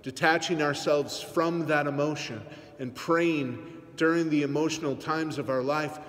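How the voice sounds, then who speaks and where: A man in his forties, a priest, giving an earnest, measured homily to his congregation in a large church. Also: fairly high pitch, steady tone, a bit bright. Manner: earnest, measured preaching with teasing asides. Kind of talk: sermon. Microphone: phone camera on the pulpit, aimed up. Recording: long church reverb.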